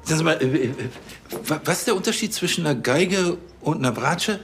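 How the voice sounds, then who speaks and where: A man's voice: fairly high pitch, lively intonation, brisk, a little hiss or hum, close to an everyday voice. An actor playing a self-important, bumbling policeman who stammers and gets huffy. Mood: huffy, amused.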